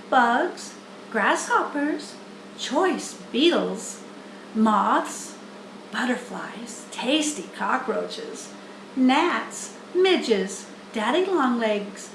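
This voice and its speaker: A woman plays a tiny, composed lady spider in a children's storybook. Her voice is high and thin, measured and slow, with genteel candor. It rings in an echoey room. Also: a little hiss or hum, heavily acted. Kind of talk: children's storybook character reading.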